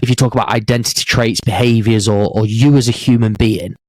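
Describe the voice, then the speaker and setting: Male podcast host, mid-pitched, encouraging, dry close recording. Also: fairly even intonation, fairly quick, darkish tone, dry recording. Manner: encouraging, affirming interviewer. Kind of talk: casual interview chat.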